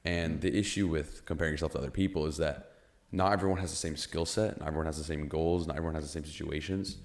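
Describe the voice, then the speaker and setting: A young man hosting a podcast, musing in casual chat with halting restarts and frequent "you know". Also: very deep voice, fairly even intonation. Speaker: podcast host.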